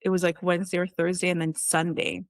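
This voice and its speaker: A woman in her thirties with a lowish voice tells her own story in a loose, chatty way. She keeps saying "like" and "you know" and slips into quoting herself. The sound is close and dry, with no room around it. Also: flat delivery, fast talker, no echo. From Israel. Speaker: guest. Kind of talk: casual storytelling interview.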